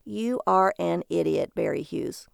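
A woman's voice: a little high, expressive, normal speed, creaky, smooth, dry recording, studio quality, voice pushed up. A woman voicing an irritated, sarcastic, weary wife in a story, with an exasperated, scolding delivery. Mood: scornful.

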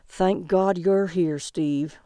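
A woman's voice: fairly low pitch, fairly even intonation, takes it slowly, dark tone, no room sound, studio quality. A woman voicing a huge, nervous male agent of death in an audiobook, anxious, needling and pleading with his partner.

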